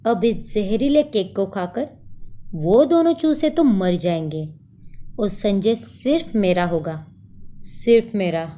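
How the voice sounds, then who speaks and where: A woman's voice: medium pitch, animated, slow pace, warm tone. A woman voicing a pushy, coquettish and possessive scheming rival in a melodramatic cartoon story. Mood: menacing, possessive.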